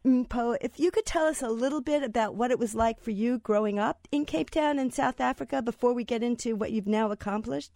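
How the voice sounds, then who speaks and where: A woman's voice: fairly high pitch, a little mellow, no room sound, studio quality. A woman hosting a radio interview, talking in an easy conversational way and asking her guest follow-up questions. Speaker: radio show host and business professor.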